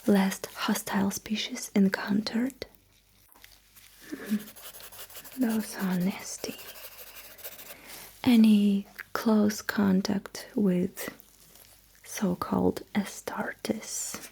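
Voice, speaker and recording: Woman, breathy whisper, slow pace, soft mellow tone, courteous dry-witted sci-fi mecha technician, ASMR roleplay, clear hiss behind. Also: medium pitch, fairly even intonation, rough recording. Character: courteous, dryly witty alien mecha technician. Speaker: ASMR performer.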